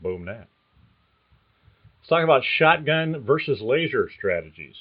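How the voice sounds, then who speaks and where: An older man hosts his career podcast with a folksy, reassuring coaching manner. His voice sits at a middle pitch for a man. The recording sounds a little dull and lo-fi. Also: sing-song, unhurried. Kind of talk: scripted podcast monologue.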